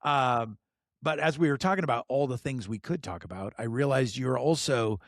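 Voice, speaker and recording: A middle-aged man hosting a podcast in casual chat, enthusiastic, laughing often and filling pauses with lots of ums and uhs. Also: mid-range voice, no echo, clean studio sound. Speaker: podcast host.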